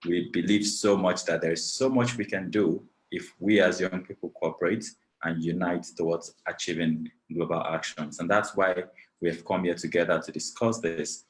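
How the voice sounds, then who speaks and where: A man's voice: low voice, fairly even intonation, moderate pace, rough recording. A man from Nigeria, moderating an online panel over a video call in a gracious, formal way, thanking many people at length. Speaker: webinar moderator.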